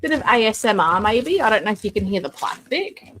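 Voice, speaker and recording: A woman's voice: very animated, brisk, fairly bright tone, a little hiss or hum. A woman hosting a live toy collecting stream, chatting casually, reading viewer comments aloud and unboxing a figure. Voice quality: self-described booming voice. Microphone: desk mic, moved away at times.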